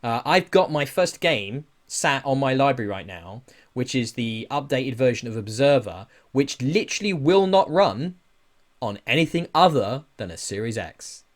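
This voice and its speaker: British guy hosting a gaming podcast, medium pitch, chatty and informal. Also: expressive, normal speed. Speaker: podcast host. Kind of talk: casual chat about gaming news.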